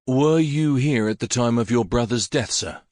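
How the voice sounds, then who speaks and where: Man as a calm, polite inspector. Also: mid-range voice, no echo, studio quality, lightly acted. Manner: measured, courteous.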